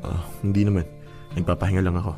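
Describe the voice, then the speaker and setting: An actor playing an earnest, emotive young boyfriend in a Filipino radio drama, with a Filipino accent. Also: male voice, low voice, fast, soft background music, close to an everyday voice. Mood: affectionate, curious. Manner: emotive, expressive. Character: hurt but loving young boyfriend, earnest.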